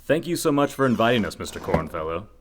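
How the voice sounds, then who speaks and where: An actor playing an earnest, dim young reporter in a comedic radio play, delivering lines in a deadpan, earnest manner. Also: male voice, on the low side, quick pace, background noise.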